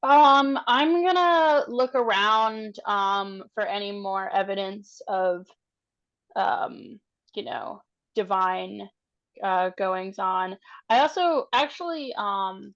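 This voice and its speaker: A woman playing a tabletop game, improvising casually and thinking aloud in a halting way. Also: mid-range voice, fairly bright tone, dull audio.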